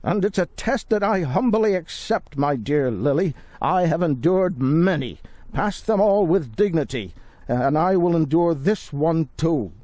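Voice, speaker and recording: A man's voice: fairly high pitch, animated, dark tone, no room sound. An actor playing a solemn, ceremonious and grandiose man in a game cutscene, speaking like a humble warrior. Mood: humble, proud. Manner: ceremonious, pompous, deliberate. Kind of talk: dramatic game cutscene speech.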